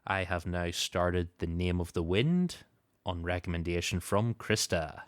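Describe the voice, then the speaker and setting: A man's voice: sits low, a little slow, no echo, clean studio sound. A man hosting a podcast, moving between show announcements and casual chat. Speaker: podcast host.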